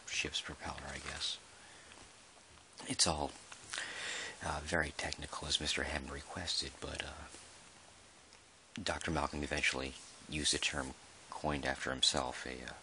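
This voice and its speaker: A man playing a measured, dry park employee who dictates an official audio log in a halting, deliberate way, in ASMR roleplay style. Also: extremely low pitch, pushed and bright, slight background noise, full character voice. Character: male dinosaur park employee, measured, dryly put-upon record keeper.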